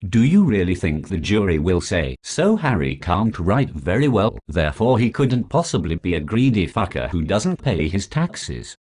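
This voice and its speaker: An actor playing a foul-mouthed prosecuting lawyer who talks with sarcastic contempt. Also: male voice, low-pitched, big pitch swings, normal speed, few pauses, darkish tone, husky, full character voice. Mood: scornful. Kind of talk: comedy sketch acting.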